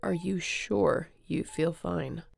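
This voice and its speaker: Casting: actress for tender, guilt-ridden female space captain, heavy vocal fry, halting emotional delivery, sci-fi roleplay. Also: lowish voice, steady tone, heavily acted. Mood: relieved, worried. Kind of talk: sci-fi audio roleplay acting.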